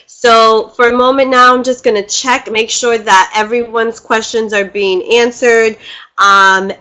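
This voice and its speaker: A woman's voice: mid-range voice, pushed and bright. A woman hosting a live webinar, bubbly and chatty, her voice congested from a cold, laughing often as she greets people. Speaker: webinar host and spiritual teacher. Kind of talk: casual live webinar talk. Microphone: computer mic on a video call.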